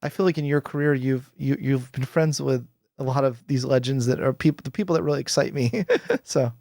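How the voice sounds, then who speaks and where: A man's voice: medium pitch, a little fast, vocal fry, darkish tone, no room sound, studio quality. A man in his forties, a guest psychologist, talking animatedly and enthusiastically with lots of fillers and laughter. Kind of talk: casual interview chat. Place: home room with bookshelves. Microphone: headphones with boom microphone.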